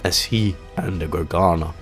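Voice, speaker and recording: A man narrating a fantasy audio play in a theatrical, solemn storytelling manner with deliberate, dramatic phrasing. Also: low voice, a bit bright, faint music behind.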